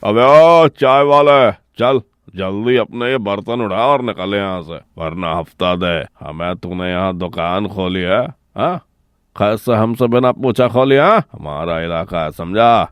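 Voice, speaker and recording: A man voicing a bullying village thug in a cartoon, with a rough, swaggering manner. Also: on the low side, measured pace, no room sound, close to an everyday voice. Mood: menacing.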